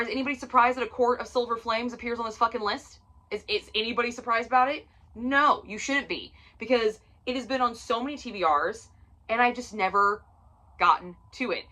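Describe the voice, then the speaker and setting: Brief: woman, late thirties, book vlogger, bright tense voice, casual and profane, self-deprecating. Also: fairly high pitch. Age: 30s. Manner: chatty, profane, self-mocking. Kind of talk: casual chat to camera. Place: at home, seated on the floor.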